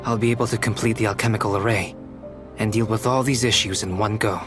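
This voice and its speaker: An actor playing a calm, erudite young alchemist in a video game, speaking in a measured, formal, precise way. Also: male voice, lowish voice, lightly acted. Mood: matter-of-fact. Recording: music behind the voice.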